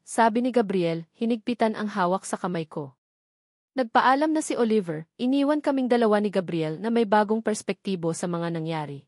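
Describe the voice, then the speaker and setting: A woman's voice: mid-range voice, a little mellow, no room sound, clean studio sound. A woman narrating a scripted romantic drama story in the first person.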